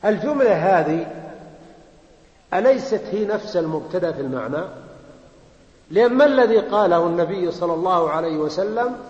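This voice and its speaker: A man's voice: high voice, a little mellow. A man teaching a grammar lesson. He explains step by step, repeats key phrases and puts questions to his students. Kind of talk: classroom lecture on Arabic grammar. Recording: roomy hall, slightly muffled.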